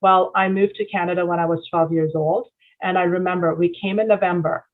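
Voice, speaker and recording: A woman's voice: on the low side, fairly even intonation, a little fast. A middle-aged woman from southern India telling her life story to an audience, with lots of "um"s. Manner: warm storytelling with frequent fillers. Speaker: event speaker. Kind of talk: personal talk to an audience. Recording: tinny phone-like line.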